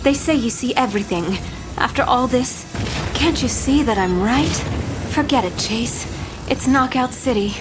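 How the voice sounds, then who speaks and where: A woman's voice: fairly high pitch, lively intonation, music in the background. An actress playing a seductive female crime boss in a noir audio drama, cool and teasing. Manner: cool, teasing, unhurried wit.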